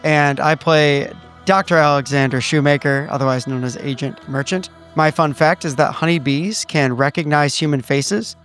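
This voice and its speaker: Higher-pitched man with dry deadpan humor. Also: moderate pace, hardly any pauses. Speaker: player on a tabletop roleplaying podcast. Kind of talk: casual chat. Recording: music behind the voice. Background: music.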